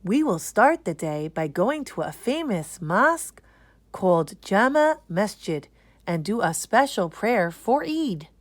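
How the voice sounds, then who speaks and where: Lady reading as a kind auntie in a kids book, patient, slow and very up n down. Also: mid-range voice, sing-song, no echo. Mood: explaining. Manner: patient, instructive. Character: kindly auntie explaining a festival to children. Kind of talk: children's picture book read-aloud.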